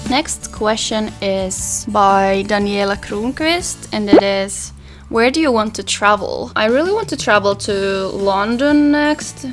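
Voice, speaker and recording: A young woman from Finland whose first language is Swedish chats easily through her makeup routine. She speaks at a mid pitch, soft and relaxed while she works, recorded close up in a small bedroom with faint music underneath. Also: slow. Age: young adult. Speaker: beauty vlogger. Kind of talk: makeup tutorial with Q&A. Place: small pastel bedroom, indoors.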